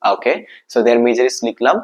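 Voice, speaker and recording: A man's voice: medium pitch, measured pace. A man with a Bangladeshi accent teaching a grammar lesson step by step, often asking questions and answering them himself, repeating key points. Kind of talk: exam-prep grammar lesson, explaining step by step.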